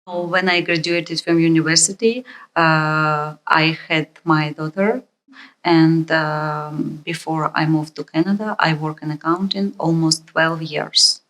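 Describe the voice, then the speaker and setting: A woman's voice: deep voice, fairly even intonation, takes it slowly, fairly bright tone, rough recording. A woman from Ukraine speaking plainly and matter-of-factly in a documentary interview. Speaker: interviewee, immigrant mother.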